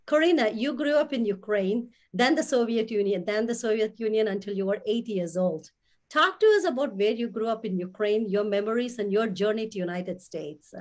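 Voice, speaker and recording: A woman's voice: mid-range voice, normal speed, darkish tone. A woman host giving a prepared welcome and introducing her guest over a video call, in a formal, scripted manner. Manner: formal, reads from prepared notes.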